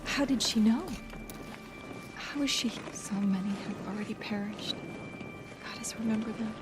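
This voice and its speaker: A woman's mid-pitched voice acting as a grieving, devout tribeswoman after a battle. It is dramatic video game dialogue with clear music behind it. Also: moderate pace, natural voice. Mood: weary, pleading.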